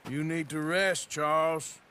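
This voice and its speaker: A male actor with an American accent playing a sardonic, gruff outlaw gunman in a western game, with dry, deadpan delivery. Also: a little high, takes it slowly. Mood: firm concern. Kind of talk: cinematic western game acting. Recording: clear background noise.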